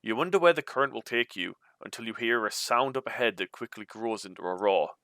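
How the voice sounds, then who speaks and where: A man's voice: medium pitch, expressive, a little fast, pushed and bright, no echo, studio quality. A man hosting a read-aloud of a gamebook, moving between storybook narration and informal, chatty commentary. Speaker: host reading a gamebook aloud.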